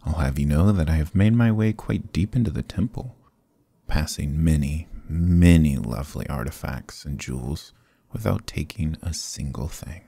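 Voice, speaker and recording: A man playing a sly, charming adventurer thief in a fantasy ASMR roleplay, smooth-talking, courtly and evasive, with theatrical hesitations. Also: rumbling low, moderate pace, warm tone, no echo, full character voice. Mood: glib, evasive. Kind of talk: fantasy ASMR roleplay acting, close and soft.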